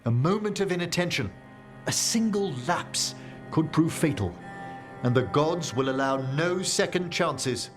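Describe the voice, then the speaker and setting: Scholar: fairly high voice, stern, precise, formal game dialogue over music. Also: male voice, lively intonation, unhurried. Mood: grave, admonishing. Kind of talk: video game dialogue acting.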